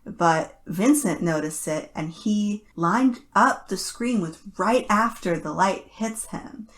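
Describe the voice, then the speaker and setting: A woman hosting a podcast, chatting analytically in a casual, thoughtful way with halting restarts. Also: mid-range voice. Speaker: podcast host.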